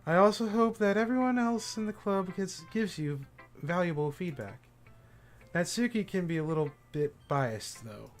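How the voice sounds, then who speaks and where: A man's voice: high voice, animated, moderate pace, faint music behind. A man voicing a shy bookish girl. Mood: earnest. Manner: hesitant, stammering, then earnest explaining. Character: shy bookish teenage girl, soft-spoken. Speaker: streamer voicing a game character.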